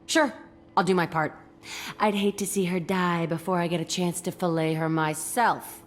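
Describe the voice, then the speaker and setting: A woman's voice: lowish voice, fairly even intonation, normal speed, close to an everyday voice. A woman voicing an angry, scarred young ex-prisoner in a video game, blunt, profane and combative. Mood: hostile. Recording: music behind the voice.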